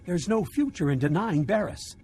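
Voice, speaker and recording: A man's voice: high-pitched, big pitch swings, moderate pace, a little mellow, husky, full character voice. A man playing a cornered, self-important Imperial double agent in a video game, urgent and defensive. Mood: defensive, insistent.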